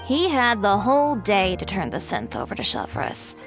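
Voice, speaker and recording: A woman's voice: medium pitch, wildly swooping pitch, moderate pace, over music. A woman playing a self-assured, candid young informant in an anime-style game, speaking in a cool, pointed way. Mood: matter-of-fact.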